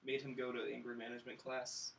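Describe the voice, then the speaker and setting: A man's voice: medium pitch, completely flat delivery, quick pace, a bit bright, distant mic, a little hiss or hum. A man in casual film-podcast chat, talking in a wry, dryly joking way. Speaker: podcast panelist reviewing films.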